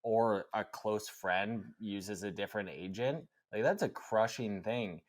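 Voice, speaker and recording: Deep-voiced man, relaxed and reflective. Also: a little slow. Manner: relaxed, reflective, fills with "like" and "right?". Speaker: podcast co-host. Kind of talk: casual podcast conversation.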